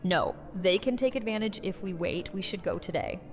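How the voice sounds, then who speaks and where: A woman's voice: medium pitch, normal speed, lightly acted. A woman playing a blunt, wry, guarded community leader in a fan audio drama. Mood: urgent. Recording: echoey hall, faint music behind.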